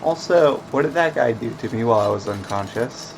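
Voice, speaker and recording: A man streaming a video game with casual, laid-back commentary in a monotone voice, full of fillers. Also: mid-range voice, a little fast, creaky, slight background noise. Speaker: let's play streamer.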